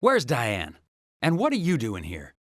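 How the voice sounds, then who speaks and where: Shifty, animated cornered suspect. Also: male voice, medium pitch, measured pace, dry recording, studio quality. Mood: evasive. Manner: hedging, stammering, shifty. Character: evasive, defensive man cornered as a suspect. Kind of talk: video game cutscene acting.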